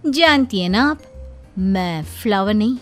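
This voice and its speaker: A woman playing a sharp-tongued young daughter-in-law in a Hindi cartoon, speaking with sugary politeness and a sassy bite. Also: medium pitch, expressive, a little slow, over music, lightly acted. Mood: defiant. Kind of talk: Hindi cartoon story voice acting.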